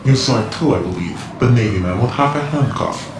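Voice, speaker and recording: An actor playing a mysterious cyborg ninja informant in a video game, cool, terse and cryptic, with a measured delivery. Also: male voice, medium pitch, expressive, echoey room, background noise, natural voice. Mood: cryptic, grave. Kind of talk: video game cutscene voice acting.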